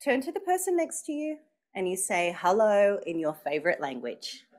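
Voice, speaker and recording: An Australian woman is emceeing a live event, and her pitch swings widely as she talks. She sounds chatty and playful, and speaks straight to the room as she draws the crowd in. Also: big pitch swings, moderate pace, a little mellow. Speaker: master of ceremonies at an event launch. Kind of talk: live speech to an audience. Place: function room with a live audience.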